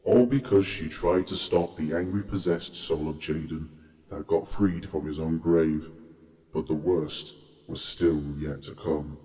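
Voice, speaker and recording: A man narrating a dark tale in a grave storytelling manner, heard from a distance with reverb. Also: rumbling low, unhurried. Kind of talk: scripted narration.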